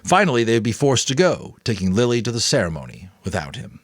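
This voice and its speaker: A man's voice: medium pitch, fairly quick, no echo, clean studio sound. A man narrating a book expressively, breaking off for casual commentary. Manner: casual asides between expressive reading. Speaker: amateur audiobook narrator.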